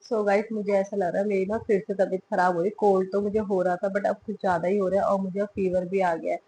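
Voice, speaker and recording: A young woman, a mother, chatting to the camera at home in a casual family vlog and coaxing her toddler, her voice hoarse from a cold. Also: medium pitch, flat delivery, quick pace, mellow, rough recording. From Himalayan hill region of India.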